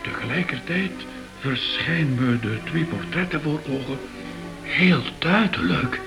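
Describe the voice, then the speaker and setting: An actor playing an earnest, mystically minded art curator in a radio play, solemn and reflective. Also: male voice, a little high, a little slow, gravelly, over music. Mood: awed, intrigued. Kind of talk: Dutch-language radio play acting.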